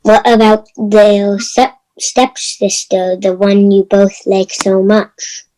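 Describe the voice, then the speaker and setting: A woman reading a friendly, helpful neighbor in a fairy-tale readers theater, gentle and encouraging. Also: mid-range voice, flat delivery, takes it slowly, natural voice, low-quality recording. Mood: chatty.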